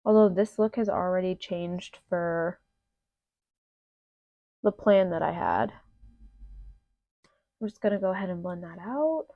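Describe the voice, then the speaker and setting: A woman's voice: lowish voice, measured pace, long pauses, dry recording. Young woman doing a makeup tutorial, kinda nasal from allergies, says like a lot, slightly muffled dry audio. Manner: chatty, lots of "like" fillers. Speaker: beauty vlogger. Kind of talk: casual makeup tutorial chat.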